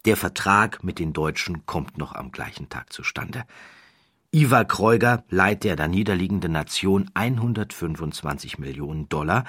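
A man narrating a scripted historical story as an audiobook narrator, in a measured, dramatic storytelling manner. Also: low voice, fairly bright tone, slightly rough, dry recording, clean studio sound.

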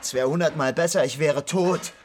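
A man's voice: on the high side, moderate pace, slight background noise, close to an everyday voice. An actor playing a bedridden young man in a radio drama, bitter, mocking and full of self-pity. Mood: bitter, agitated. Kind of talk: naturalistic German radio drama acting.

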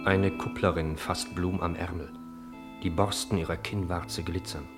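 Low-pitched male narrator. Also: steady tone, unhurried, over loud music. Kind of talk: literary scripted narration for a radio play.

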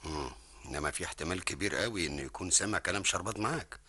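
An actor with an Egyptian accent playing a calm, authoritative intelligence chief giving instructions. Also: male voice, sits low, husky, exaggerated voice. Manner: measured, instructive.

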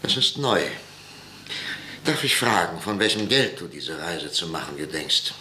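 A man's voice: low voice, big pitch swings, measured pace, bright, forceful tone, slight background noise, exaggerated voice. An actor playing a stern, proud, middle-aged father in a radio play, speaking in a clipped, formal, indignant way. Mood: cold. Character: stern proud older father, head of household. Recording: roomy echo.

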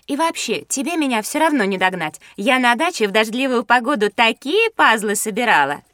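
Young schoolgirl, high voice, lively intonation, pert, inquisitive, teasing, childlike, educational audio play. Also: a little fast, no room sound, studio quality.

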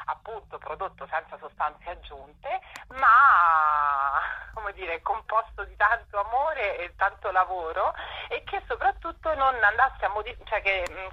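A woman speaks in a low, husky voice with a forceful edge. She explains in an informal interview, pausing and searching as she goes. The sound is dull and lo-fi, like a radio phone-in. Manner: hesitant, explanatory, with drawn-out pauses. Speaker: festival presenter, interview guest.

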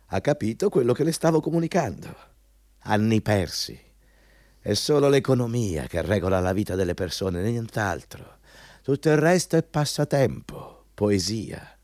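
An actor playing a condescending, coldly dogmatic company boss who lectures and sneers in a radio drama. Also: male voice, on the low side, sing-song, moderate pace, a little mellow, no echo, clean studio sound. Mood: scornful, superior. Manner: sneering, lecturing, repeats phrases for emphasis.

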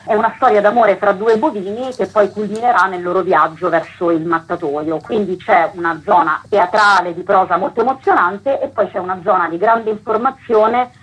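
A woman's voice: medium pitch, fairly bright tone, husky, low-quality recording. A woman explaining things earnestly and emphatically as an interview guest. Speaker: guest, theatre author and actress.

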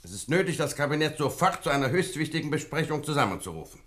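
Brisk, commanding government minister. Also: male voice, fairly high pitch, very animated, a bit bright. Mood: urgent. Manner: authoritative, brisk, formal. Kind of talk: German radio play acting.

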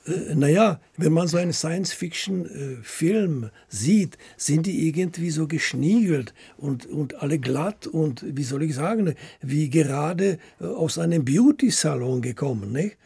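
An older man, a writer being interviewed, talking haltingly as he searches for words and often adding little tag questions. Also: on the high side, lively intonation, vocal fry, warm tone, husky, dry recording. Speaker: radio play writer as interview guest. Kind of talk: unscripted interview chat.

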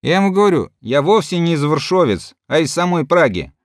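Man voicing good-natured soldier storyteller, rambling, animated, fairly high voice, dry audiobook sound. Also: fairly quick, dry recording. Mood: chatty. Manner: chatty, lively yarn-spinning to comrades. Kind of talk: audiobook reading.

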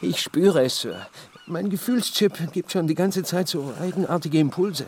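Brief: an earnest, polite android, fairly high and eager, radio sci-fi, light background noise. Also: male voice, expressive, moderate pace, a little mellow. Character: earnest android client with a feeling chip. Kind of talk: radio drama acting. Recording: faint noise behind.

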